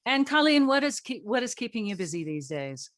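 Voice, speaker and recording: A woman hosting a panel in a fairly high voice. She reads her introduction with theatrical emphasis and slips in playful, self-deprecating asides. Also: sing-song, fairly quick. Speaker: moderator of a cast panel. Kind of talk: scripted introduction with joking asides.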